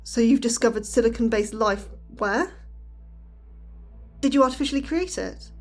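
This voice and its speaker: A woman's voice: a little high, moderate pace, faint music behind, close to an everyday voice. A woman playing an anxious, inquisitive young scientist in an audio drama, with a hesitant, questioning manner. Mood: wary, intrigued. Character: anxious, inquisitive, sceptical young female astrobiologist. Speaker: voice actor in a fiction podcast. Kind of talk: naturalistic audio drama acting.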